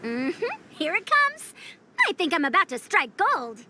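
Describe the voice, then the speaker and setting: A woman's voice: very high-pitched, sing-song, measured pace, bright, forceful tone, slight background noise, full character voice. A woman voicing a proud, self-assured veteran scholar in a video game, slightly haughty with old-fashioned phrasing. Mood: excited. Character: confident, proud, brisk female veteran scholar. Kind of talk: voiced video game dialogue.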